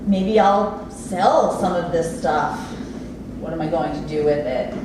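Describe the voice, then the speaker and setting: Stage play role: wistful, quirky immigrant housekeeper played by a woman, unhurried and halting, heard from afar over clear noise. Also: deep voice. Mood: wistful, hesitant. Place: live staged reading in an echoey theater space. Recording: distant, very echoey noisy room recording.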